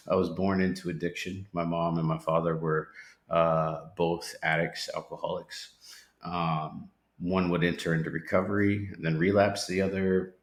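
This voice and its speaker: A man sharing his recovery story as a podcast guest, sincere and reflective, with pauses and restarts. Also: sits low. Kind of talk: personal testimony. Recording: roomy echo.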